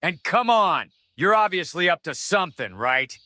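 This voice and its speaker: An actor playing a smug, bullying small-town sheriff's deputy who taunts and threatens, in an audio drama. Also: male voice, sits high, very animated, normal speed, pushed and bright, dry recording, clean studio sound, heavily acted. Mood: menacing.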